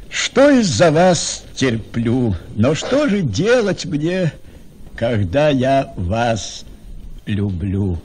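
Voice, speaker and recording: An actor playing an indulgent father of noble daughters in a radio play, declaiming verse expressively. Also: medium pitch. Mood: resigned. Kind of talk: theatrical verse radio drama acting.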